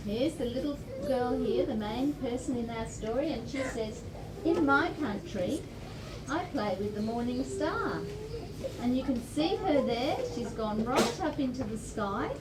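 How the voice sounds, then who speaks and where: An Australian woman reading a picture book aloud to young children, pausing to explain simply and ask encouraging questions. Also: high-pitched, lively intonation. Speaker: storytime presenter reading to children. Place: library event room. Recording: distant, echoey room recording with clear noise.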